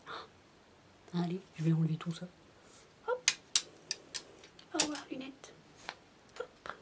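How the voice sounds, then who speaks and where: A woman doing soft-spoken ASMR storytelling, playful and whispery, wandering into chatty asides as if to a child. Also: lowish voice, very animated, slow, heavy background noise. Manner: playful babysitter addressing a child listener. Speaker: ASMR roleplay performer.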